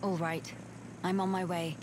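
A British woman playing a dignified, reserved young noblewoman with measured, formal speech in a video game. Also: lowish voice, little pitch movement, background noise, close to an everyday voice. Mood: composed, wary. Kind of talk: video game cutscene acting.

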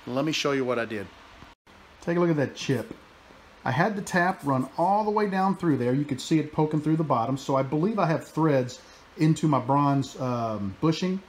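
A man in his forties talking casually through his workshop projects, relaxed and chatty with many fillers and restarts, filmed on a phone held at arm's length in his home workshop. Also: fairly high pitch, moderate pace. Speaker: woodworking and metalworking hobbyist. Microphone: phone camera held at arm's length.

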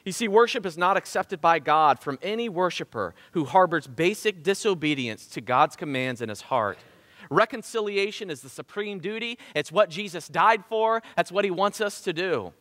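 Man, 30s, Southern Ohio accent, pastor, fairly high voice, long church-hall reverb. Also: normal speed. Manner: animated preaching with rhetorical questions and gestures. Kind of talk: sermon. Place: church stage in front of a drum kit.

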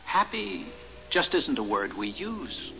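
A man playing the reluctant, gentle ghost of a dead husband, hesitant and weary, in 1970s radio drama style. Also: fairly high pitch, sing-song, takes it slowly, creaky, over music, heavily acted, poor audio. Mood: wry, uneasy.

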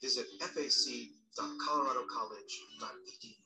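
A woman's voice: very deep voice, brisk. A woman reading scripted promotional narration as the narrator of a trailer for an audio play series. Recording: roomy playback with faint music behind.

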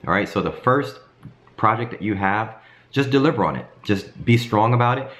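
A man in his thirties, a bit hoarse from a cold, giving casual advice to camera from his home kitchen and checking in with "okay?" as he goes. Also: lowish voice, normal speed. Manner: animated hand gestures, frequent "um" and "okay?". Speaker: design career vlogger.

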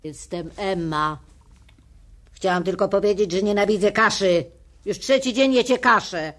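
A woman as Emma, a loud, cranky old creature. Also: medium pitch, unhurried, lightly acted. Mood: indignant, hostile. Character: cranky, scornful old female creature, wrinkled and whiskered. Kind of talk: radio play acting.

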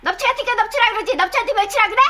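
A woman's voice: very high-pitched, rapid-fire, sharp tone, some chatter behind. An actress in her fifties playing an exasperated, combative mother who nags and scolds in a sitcom. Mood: scolding. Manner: nagging, combative. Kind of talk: sitcom acting.

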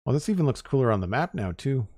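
A man's voice: mid-range voice, fast, no room sound, clean studio sound. A man casually chatting to his viewers while building in a game, his voice a little worn from talking all day. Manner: relaxed, chatty, talks to chat. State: losing his voice. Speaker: Minecraft streamer. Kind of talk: casual live-stream chat while building.

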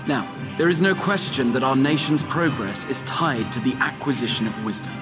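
Guy voicing an articulate young scholar in a fantasy game, formal, expressive pitch, music under him. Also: moderate pace, lightly acted. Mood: measured, probing. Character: scholarly, measured young male catfolk investigator addressing a council. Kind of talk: dramatic video game dialogue.

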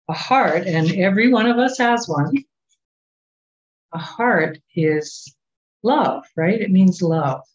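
Slow, gentle woman leading a children's talk. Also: on the low side. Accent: Canadian. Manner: gentle, unhurried, coaxing questions to children. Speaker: children's time leader. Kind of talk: children's story at a church service. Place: small office at home. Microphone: webcam on a video call. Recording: dull, muffled webcam sound.

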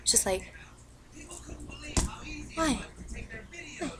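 A young woman in her teens rambling in a hyper, filler-heavy way in a casual bedroom webcam rant, her voice low and rough from the cold. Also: expressive, very slow, over a noisy crowd. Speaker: vlogger. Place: bedroom, indoors. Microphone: built-in webcam mic. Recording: noisy webcam recording with TV behind. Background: TV playing in the background.

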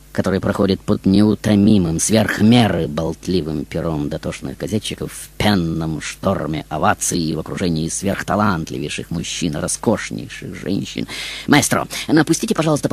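A man's voice: sits low, slightly rough. A man hosting a radio show in a theatrical, florid and grandiose manner.

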